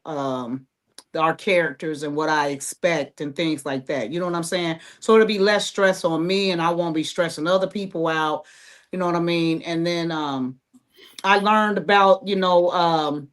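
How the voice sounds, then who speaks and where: A woman's voice: on the low side, fairly bright tone. Woman, American accent, playwright guest, casual chat, informal conversational delivery. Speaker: playwright and creator, interview guest.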